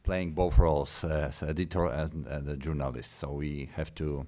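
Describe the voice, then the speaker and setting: Male journalist, Polish accent, rumbling bass pitch, mellow tone, introducing himself. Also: steady tone, normal speed. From Poland. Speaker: editor and journalist. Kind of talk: self-introduction to an audience.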